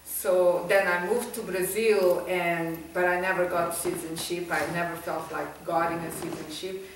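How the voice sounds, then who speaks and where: A woman from Angola shares her personal testimony, laughing often and pausing to search for English words. She sits far from the microphone in a very echoey room, with faint noise behind her. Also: on the low side, level tone. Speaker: guest giving testimony.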